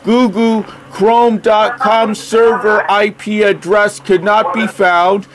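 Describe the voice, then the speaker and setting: A man on a phone call speaking in a halting, deliberate, literal way as he spells and reads aloud. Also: high voice, little pitch movement, a crowd in the background. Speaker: phone call recipient stringing a caller along. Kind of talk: slow phone conversation, spelling and reading aloud.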